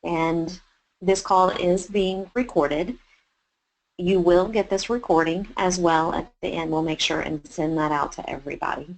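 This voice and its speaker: A woman's voice: lowish voice, steady tone, a little slow, poor audio. A woman with a Texan accent, a chef hosting a webinar and talking her listeners through it in a chatty, encouraging way with plenty of fillers. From Texas. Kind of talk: webinar presentation.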